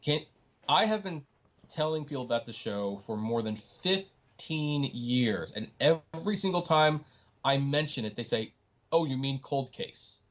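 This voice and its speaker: A male podcast host with a mid-pitched voice talks through his opinions in a casual, conversational way. He is emphatic and tells things like stories, slipping into quoted remarks to make his points. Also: animated, unhurried, a bit bright.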